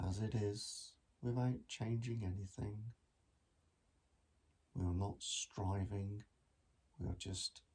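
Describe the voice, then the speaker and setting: A man guiding a meditation in a gentle, measured manner with long pauses between phrases. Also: lowish voice, husky. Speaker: mindfulness meditation teacher. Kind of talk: guided body scan meditation.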